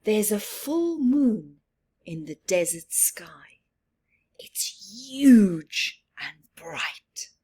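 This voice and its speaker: A woman reading a children's picture book aloud, taking her time over every phrase. She is expressive, often drops into soft whispers and then lifts her voice again. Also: mid-range voice, extremely slow, slightly rough. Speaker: children's story reader.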